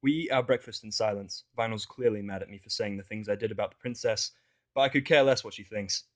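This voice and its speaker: A man's voice: lowish voice, quick pace. A man reading a scripted first-person fan fiction story as its narrator.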